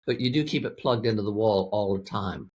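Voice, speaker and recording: A man's voice: fairly low pitch, brisk, a little mellow, a little hiss or hum. A man speaking as an interview guest, explaining a product in a plain-spoken, methodical, conversational way. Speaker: interview guest, weather radio company representative.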